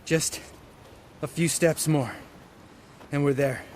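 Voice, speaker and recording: An actor playing a younger monster hunter in a fantasy video game, cynical and bitter, with a blunt, sardonic manner. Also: male voice, a little high, steady tone, slow, slight background noise.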